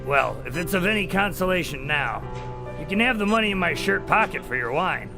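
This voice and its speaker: Gravelly, sardonic cynic. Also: male voice, high voice, lively intonation, brisk, music in the background. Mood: wry. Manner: sarcastic, blunt, needling. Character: cynical, sardonic man sent back through time. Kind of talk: radio drama acting.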